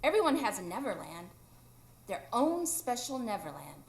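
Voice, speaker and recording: A woman's voice: mid-range voice, sing-song, normal speed, vocal fry. A woman acting a playful, carefree and stubborn ageless boy in a live radio drama. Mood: matter-of-fact. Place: improv theatre stage, live audience. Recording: roomy live stage recording.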